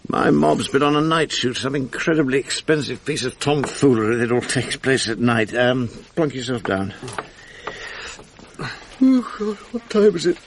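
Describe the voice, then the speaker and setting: An actor playing a weary, hard-drinking, self-pitying English TV producer in a radio drama, wry, rueful and rambling. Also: male voice, medium pitch, animated, normal speed, long pauses, slight background noise, lightly acted. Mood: groggy. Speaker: lead actor and narrator. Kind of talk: confessional radio drama monologue.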